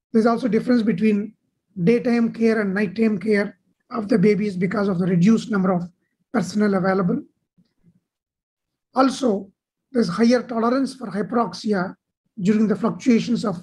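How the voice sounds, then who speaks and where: A woman delivering a measured medical conference keynote over slides on a video call. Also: mid-range voice, mellow, breathy edge, rough recording. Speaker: keynote speaker.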